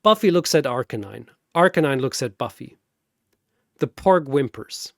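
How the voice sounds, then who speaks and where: A man narrating a scripted battle story in a theatrical, deliberate way, like a dramatic commentator. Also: medium pitch, expressive, creaky, no room sound, clean studio sound.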